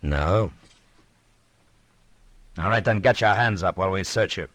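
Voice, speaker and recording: An American actor playing a tough, steady frontier marshal in a radio western, speaking in a dry, commanding way. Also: male voice, deep voice, measured pace, dry recording. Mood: stern, sardonic. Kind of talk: old-time radio western acting.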